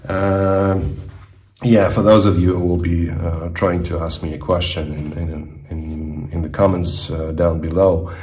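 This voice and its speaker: A middle-aged man from Russia, a native Russian speaker, giving a casual, halting demonstration as an enthusiast presenter in his basement. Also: low-pitched, little pitch movement, darkish tone. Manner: halting, hesitant delivery. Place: indoor basement.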